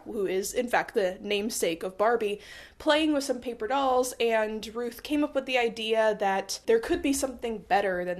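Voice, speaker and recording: Stuffed-up woman rambling about history. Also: a little high. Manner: rambling, self-deprecating, wry asides. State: stuffed up from a cold. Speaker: history video host. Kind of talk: casual history explainer. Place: small apartment room.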